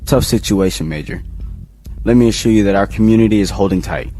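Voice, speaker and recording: Man playing a low-voiced, plainspoken town leader. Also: a little fast, music in the background, natural voice. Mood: measured. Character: steady, folksy male leader of survivor town. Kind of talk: audio drama acting.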